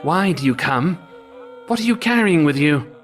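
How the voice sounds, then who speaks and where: A man voicing a devil who guards hell's cauldron in a storybook folk tale, loud, alarmed and shooing people away. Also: fairly high pitch, expressive, normal speed, soft background music, voice pushed up, close to an everyday voice. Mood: suspicious.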